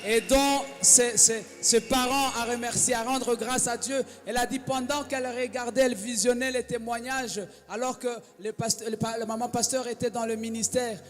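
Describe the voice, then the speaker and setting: A man interpreting a sermon live, matching the preacher's intensity and shouting along. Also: very high-pitched, steady tone, moderate pace, hardly any pauses. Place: church hall. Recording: echoey hall with music.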